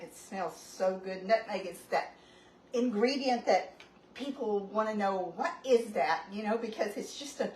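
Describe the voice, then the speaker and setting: Chatty woman in a roomy kitchen. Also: on the high side. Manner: chatty, rambling, homey asides. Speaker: home cooking channel host. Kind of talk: casual cooking demonstration. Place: home kitchen. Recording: roomy, distant, noisy home recording.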